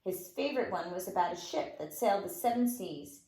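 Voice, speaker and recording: Animated woman reading stories in a roomy space. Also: on the low side, brisk. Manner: animated, dramatic emphasis. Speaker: nursery school teacher reading a storybook. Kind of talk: expressive read-aloud storytelling for young children. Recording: roomy, echoing room sound.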